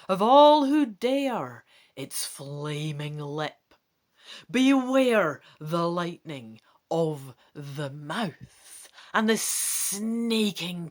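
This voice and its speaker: A woman performing a dramatic storyteller who intones a fantasy dragon tale in a drawn-out, portentous manner. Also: low voice, heavily acted. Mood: ominous incantation.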